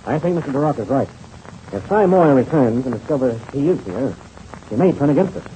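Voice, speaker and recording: An actor playing a confident, decisive American pilot and senior adventurer in a hearty 1930s radio serial style. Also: male voice, mid-range voice, lively intonation, a little fast, mellow, lightly acted, poor audio. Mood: resolute. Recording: faintly hissy old radio recording.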